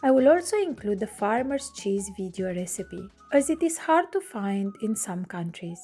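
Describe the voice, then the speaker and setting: A woman from Romania, hosting her cooking channel and narrating a recipe in clear step-by-step instructions from her home kitchen. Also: mid-range voice, very animated, moderate pace, warm tone, faint music behind.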